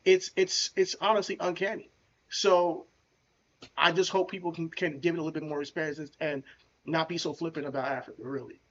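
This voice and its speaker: A man co-hosts a loose podcast conversation, blunt and animated, full of slang. His voice sits a bit high for a man. The recording sounds dull and lo-fi, like a remote call. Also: a little fast. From United States. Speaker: podcast co-host. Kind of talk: casual chat.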